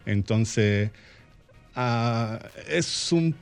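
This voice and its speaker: A man's voice: mid-range voice, expressive, very slow, vocal fry, smooth, faint music behind. Hesitant Franco-Argentine man in a radio interview. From born in Argentina, raised in France. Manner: hesitant, many fillers. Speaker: guest, carpentry business owner.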